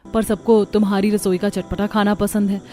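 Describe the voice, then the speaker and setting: A woman's voice: mid-range voice, flat delivery, normal speed, warm tone, lightly acted. A woman voicing a sly, jealous older sister-in-law in a family cartoon, slyly excusing herself. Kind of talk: Hindi family cartoon dubbing.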